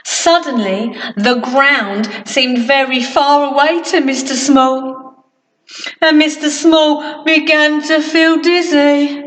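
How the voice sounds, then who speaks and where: A woman reading a children's storybook expressively, with theatrical emphasis and drawn-out sound words. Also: high voice, fairly even intonation, fairly bright tone. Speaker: storyteller at a school. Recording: echoey hall, far from mic.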